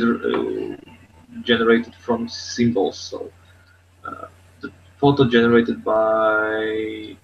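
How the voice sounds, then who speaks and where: A man's voice: medium pitch, vocal fry. A man co-hosting a casual tech podcast over an online call, talking in loose, repetitive phrases. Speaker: podcast co-host. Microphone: online video hangout. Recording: dull lo-fi online call, faint noise.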